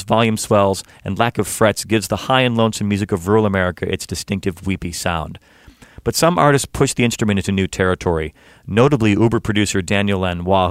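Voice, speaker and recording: A man hosts a music interview show, moving from a measured, announcer-style script into easy chat with his guests. His voice sits a little low, and the studio sound is close and dry. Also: dry recording. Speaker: radio show host. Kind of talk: scripted intro then casual interview.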